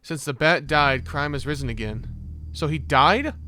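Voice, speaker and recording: A man's voice: on the high side, moderate pace, pushed and bright, a little hiss or hum. A man giving casual, slangy reaction commentary, thinking aloud, exclaiming and reading text off a screen. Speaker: reaction video host. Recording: music playing behind the voice.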